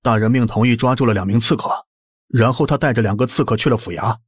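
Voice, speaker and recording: A man's voice: mid-range voice, fast talker, husky, no room sound, studio quality, lightly acted. A man playing an anxious, deferential servant in an audiobook drama, with a respectful way of talking. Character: deferential, anxious male servant reporting to his mistress. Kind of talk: audiobook drama acting in Mandarin.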